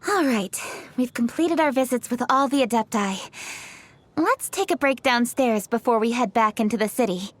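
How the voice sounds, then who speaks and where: An actress playing a young female official who speaks crisply and with authority. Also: a little high, expressive, normal speed. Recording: game dub with faint background music.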